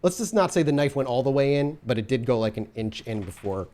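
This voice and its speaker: Man narrating a dark tabletop scene. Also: medium pitch, animated, quick pace. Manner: vivid scene narration mixed with patient rules explanations. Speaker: storyteller, game master. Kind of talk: narrating a tabletop horror game.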